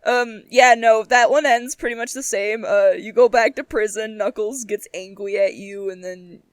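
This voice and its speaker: A woman's voice: on the high side, steady tone, moderate pace, dry recording. A young adult woman chatting casually as a podcast co-host, giggly and emphatic, with plenty of swearing. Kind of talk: casual chat.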